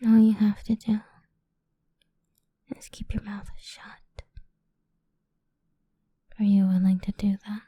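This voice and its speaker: A woman playing a sadistic, domineering female boss in a whispered ASMR roleplay, taunting and condescending in a soft breathy voice. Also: mid-range voice, little pitch movement, slow, long pauses, no room sound. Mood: coolly persuasive.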